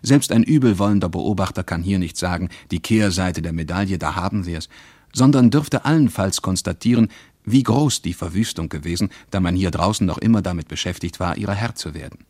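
Deep male narrator, very dry sound. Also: a little fast, a little mellow, no echo. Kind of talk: scripted essayistic narration for a radio play.

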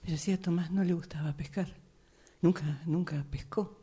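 A woman improvising a radio drama part as a reserved, guarded woman who speaks haltingly and repeats words. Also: lowish voice, steady tone, dark tone, breathy edge. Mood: doubting, upset. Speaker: radio drama workshop participant.

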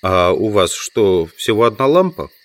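An actor playing an inquisitive, sceptical insect collector from the city in an audio drama. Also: male voice, on the low side, sing-song, unhurried, dry recording. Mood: puzzled, polite. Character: male amateur entomologist, inquisitive, sceptical, politely insistent city visitor. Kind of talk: Russian audio drama, dialogue and inner monologue.

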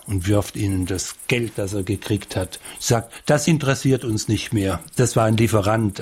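A man retelling a story colloquially, halting and musing, repeating his phrases. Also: fairly low pitch, darkish tone, husky. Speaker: commentator retelling the Passion story.

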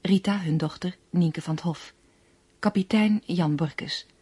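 Husky, low-voiced woman announcer. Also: measured pace, dark tone, no echo. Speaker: radio drama announcer. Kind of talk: scripted credits announcement.

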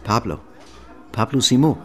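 An actor playing a reserved, evasive architect in a radio drama, talking in an understated, reassuring way. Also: male voice, mid-range voice, expressive, normal speed, soft background music, natural voice. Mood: reserved, friendly.

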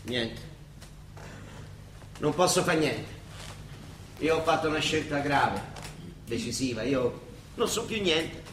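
An actor playing a blunt, ironic, weary monsignor with a Roman accent in a theatrical radio drama. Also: male voice, fairly high pitch, lively intonation, takes it slowly, pauses often, fairly bright tone, roomy sound, slight background noise. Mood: weary, resigned. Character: gruff, sardonic, weary Roman monsignor and judge. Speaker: stage actor.

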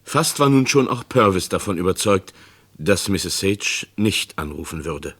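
A man's voice: low-pitched, expressive, measured pace, a bit bright. A man giving scripted narration as the narrator of a true-crime radio drama.

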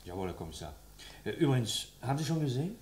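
An actor playing a dutiful, chatty police assistant with coarse humour in a German radio crime play. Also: male voice, mid-range voice, very animated, fast, a little mellow, lightly acted. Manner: deferential to his boss, chatty. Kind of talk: mid-century German radio play acting. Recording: noisy vintage radio drama recording.

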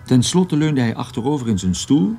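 A man's voice: medium pitch, big pitch swings, normal speed, warm tone, soft background music. An actor playing a wry private detective who narrates his own story in a radio drama, dry and ironic. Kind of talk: first-person radio drama narration, noir.

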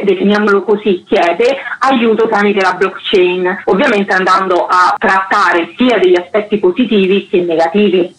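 A woman's voice: mid-range voice, a bit bright, poor audio. A woman being interviewed as an author, explaining her work in formal, academic language.

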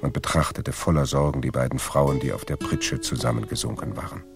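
Man narrating radio drama, super deep gravelly voice, loud music underneath. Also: steady tone, a little fast. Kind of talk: scripted radio play narration.

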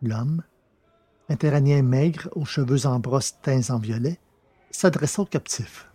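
A man's voice: medium pitch, a little slow, warm tone, breathy edge, dry recording. A man reading scripted science-fiction story narration.

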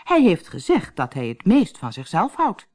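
A woman's voice: on the low side, huge pitch swings, normal speed, a little mellow, pitched above the performer's own voice. A woman voicing a cheeky, kind-hearted young trickster servant in a storybook, playful and polite. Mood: sly, teasing. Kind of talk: storybook character acting.

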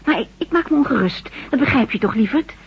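Soothing housewife, radio drama, very husky, lilting, slightly high, gentle, coaxing, muffled vintage recording. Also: female voice, animated, fast, heavily acted. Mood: worried. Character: caring, watchful, soothing woman at home.

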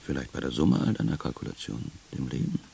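A brooding narrator with a very low, creaky voice. He speaks like an inner voice of the city, listing things in deliberate, poetic fragments on hissy radio play tape. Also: big pitch swings, dark tone, full character voice. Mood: measured, cryptic. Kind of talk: literary 1980s German radio play narration.

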